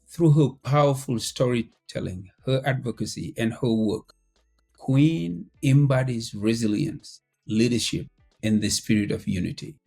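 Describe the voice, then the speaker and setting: Host: man, mellow dark tone, measured formal delivery, faint music under. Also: on the low side, breathy edge. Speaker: podcast host. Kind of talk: introducing a guest, then asking questions.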